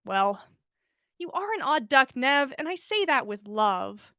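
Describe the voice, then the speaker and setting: A confident young woman from a storybook, played by a woman reader, brisk and teasing with her friend. Her high voice swings widely in pitch and sounds dry and close. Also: no echo, exaggerated voice. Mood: prodding. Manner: lively, direct, teasing.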